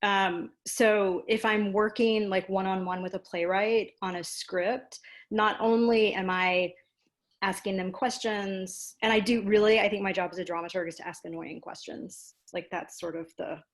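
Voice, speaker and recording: Casual woman on a video call interview, mid pitch, explains stuff, pokes fun at herself. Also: steady tone, moderate pace. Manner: informal, self-deprecating, explanatory. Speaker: guest, dramaturg and producer.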